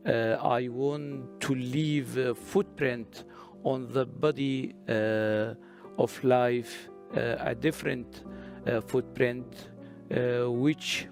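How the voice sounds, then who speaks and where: A middle-aged male poet from Syria whose first languages are Kurdish and Arabic. He speaks slowly in a gravelly voice and fills his sentences with long hesitant "uh" pauses. Soft guitar plays faintly in the background. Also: medium pitch. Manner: many drawn-out "uh" pauses. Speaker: poet reading at a literary festival. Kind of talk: hesitant prepared talk, then poetry recital.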